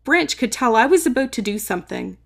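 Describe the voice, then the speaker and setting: A woman reading a children's story aloud expressively, with dramatic emphasis and some drawn-out words. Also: a little high, very animated, creaky. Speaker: storybook reader. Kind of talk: expressive children's read-aloud, narrating in first person.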